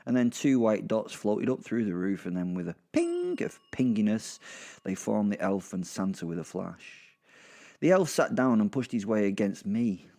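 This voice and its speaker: British man, low voice, dark mellow tone, conversational storytelling, wry sweary asides. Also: wildly swooping pitch, moderate pace, vocal fry. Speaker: narrator of horror audio drama. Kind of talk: first-person conversational storytelling.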